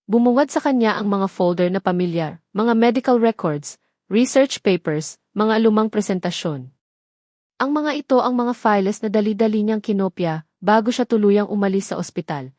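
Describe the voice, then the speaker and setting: A woman's voice: mid-range voice, normal speed, darkish tone, no room sound. Woman narrating a dramatic story, dry close sound.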